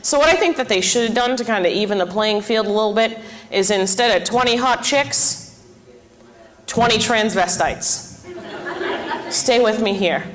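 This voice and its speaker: A young woman in her twenties performing a self-deprecating stand-up comedy set on a club stage, with deadpan punchlines and chatty asides to the crowd. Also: fairly high pitch, moderate pace, pauses often. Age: 20s.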